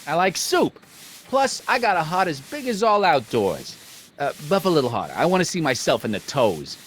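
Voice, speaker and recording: A man's voice: a little high, very animated, moderate pace, a bit bright, a little hiss or hum. An actor playing a brash, bullying American gangster boss in a video game, with sarcastic, domineering tough-guy talk and old-time slang. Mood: smug.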